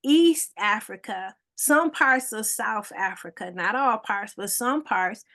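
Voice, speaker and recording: A woman's voice: mid-range voice, expressive, slow pace, sharp tone. A woman, guest and foundation director, explaining history in an interview with frequent ums and tag questions. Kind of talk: interview answers.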